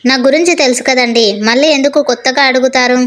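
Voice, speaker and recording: A woman's voice: high-pitched, fairly even intonation, quick pace, lightly acted. A woman acting a lazy, sleepy young wife who bosses her husband, whiny and dismissive, in a moral story. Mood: whiny, irritated. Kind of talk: acted Telugu moral story dialogue.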